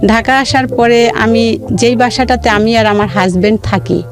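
A woman with a Bangladeshi accent telling her own life story in an interview, in a halting, detailed way with repeated phrases. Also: on the high side, steady tone. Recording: music playing behind the voice. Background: background music.

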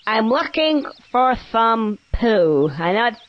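A man's voice: very high-pitched, big pitch swings, slow, highs cut off, a little hiss or hum, exaggerated voice. An actor playing a cheeky, laid-back young male squirrel in a cartoon video game, musing wryly to himself. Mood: casual. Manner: wry, offhand musing to himself.

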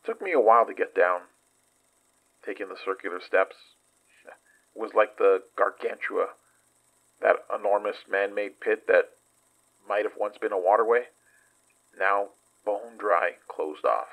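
Weary investigator, horror podcast drama. Deepish, husky, halting delivery, haunted by what he recounts. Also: fairly bright tone, rough recording. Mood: weary, guarded. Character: weary male investigator recounting a dangerous excursion. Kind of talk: horror audio drama acting.

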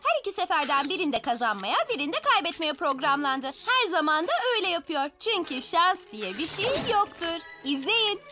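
Brainy cartoon girl, very high swooping voice, self-assured explainer, loud music behind. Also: big pitch swings, normal speed, few pauses. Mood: confident. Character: brainy, confident young girl who trusts science. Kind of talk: animated children's cartoon dub.

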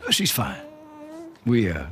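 Middle-aged US male, rugged and bitter game survivor, slow drawl, wide-swinging intonation. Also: fairly low pitch, wildly swooping pitch, sharp tone, exaggerated voice. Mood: subdued, earnest. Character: bitter, weary, rugged man bent on revenge. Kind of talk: naturalistic video game cutscene acting.

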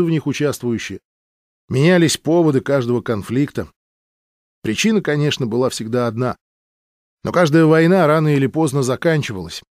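A man's voice: mid-range voice, darkish tone, no echo. Male audiobook narrator, dry close sound. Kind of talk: scripted dramatic literary narration.

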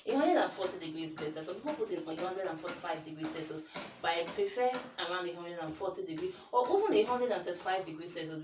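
Chatty Nigerian woman in an echoey kitchen. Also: fairly low pitch. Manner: chatty, self-deprecating, talks while working. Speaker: baking vlogger. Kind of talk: casual baking tutorial. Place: small tiled home kitchen, indoors. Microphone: camera mic from across the kitchen. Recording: echoey kitchen from far off, noisy.

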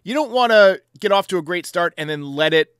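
Male sports show host, bright forceful delivery, clean close sound. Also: high-pitched, lively intonation, fairly quick, clear, resonant tone, no echo. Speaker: host of a baseball voicemail show. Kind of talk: sports commentary answering callers.

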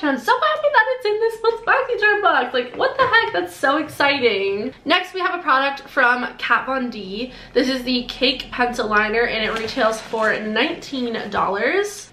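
Young woman beauty vlogger, nasal and a bit congested, bright tone, fairly high, echoey room. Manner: bubbly, gushing, quick asides. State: sore throat, congested. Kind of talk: casual product unboxing to camera. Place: decorated bedroom, indoors.

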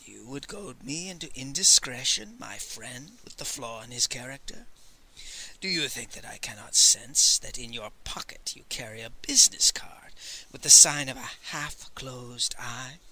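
A man voicing an ancient, cunning old male sage in an audiobook, speaking in a hushed, breathy whisper with a sly, drawn-out delivery. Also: medium pitch, rough recording. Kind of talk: audiobook character voice.

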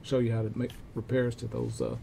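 An older man talks through an equipment demonstration in a low-key, mellow voice with a gravelly edge. He goes step by step, haltingly and methodically. Faint room noise sits behind him in a workshop. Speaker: repair technician demonstrating equipment checks. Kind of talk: informal instructional demonstration. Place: cluttered indoor electronics workshop. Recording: clear steady background room noise.

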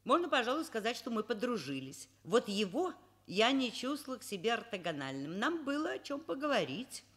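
An actress playing an educated middle-aged professor in a radio play, wry and expressive in narration and dialogue. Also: female voice, fairly low pitch. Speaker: radio drama actor, narrator. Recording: radio play, violin music behind.

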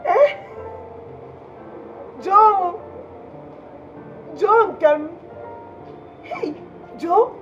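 A woman's voice: extremely high pitch, very animated, very slow, long pauses, soft background music, heavily acted. An actress with a Nigerian accent playing a hot-tempered, theatrical jilted girlfriend in a phone-call drama. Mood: amazed, adoring. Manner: theatrical, exclamatory, repetitive. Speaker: actor in a comic relationship drama.

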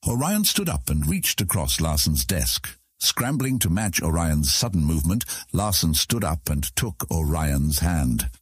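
A man with a husky voice reading scripted fantasy narration as an audiobook narrator. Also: a bass voice, very animated, unhurried, hardly any pauses, no echo, clean studio sound.

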